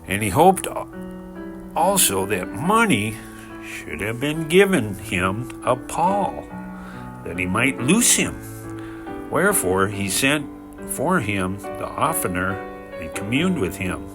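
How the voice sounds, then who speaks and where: A man giving a measured, expressive reading of scripture in a formal narrating style. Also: lowish voice. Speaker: scripture reader. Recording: music behind the voice.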